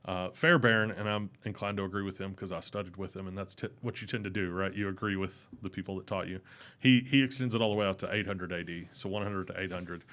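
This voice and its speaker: Young man, loud and carrying, lively casual storyteller. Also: quick pace. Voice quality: loud voice that carries. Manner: animated, rising in pitch when excited. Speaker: podcast guest.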